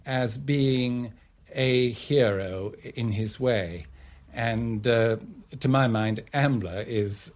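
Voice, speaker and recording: Slow, thoughtful male literary commentator. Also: on the low side, a little mellow. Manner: halting, thoughtful. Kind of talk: considered interview commentary.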